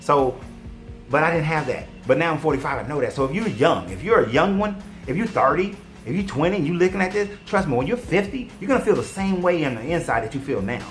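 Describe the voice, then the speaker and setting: A man's voice: fairly high pitch, lively intonation, quick pace, soft background music. A man in his forties giving an emphatic, preachy motivational monologue, talking straight to his viewers. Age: 40s. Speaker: online commentator and life coach.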